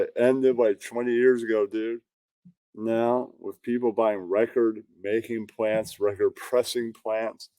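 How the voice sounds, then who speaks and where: A man giving casual reaction commentary as a show host, with excitable, slangy interjections and imagined quotes. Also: medium pitch, no room sound.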